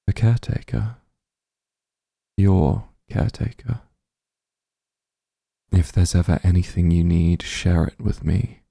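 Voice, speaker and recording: A man playing a doting, possessive demon lord in an intimate ASMR roleplay, speaking in a tender, coaxing hush with a dark, husky voice, close to the microphone. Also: deep voice, no echo, exaggerated voice. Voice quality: very dark, husky, gravelly, breathy. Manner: tender, coaxing, teasing, hushed. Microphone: close microphone, dry.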